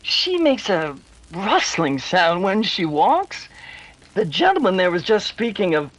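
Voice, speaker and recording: A woman playing a superstitious, jittery, folksy house servant with a hesitant, excitable manner, in a 1930s-style radio drama. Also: medium pitch, a little slow, dry recording, poor audio. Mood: folksy, nervous.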